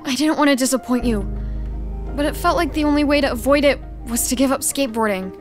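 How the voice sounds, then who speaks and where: A woman's voice: high-pitched, fast, faint music behind, lightly acted. A woman playing an earnest, eager teenage skateboarder girl in an audio drama.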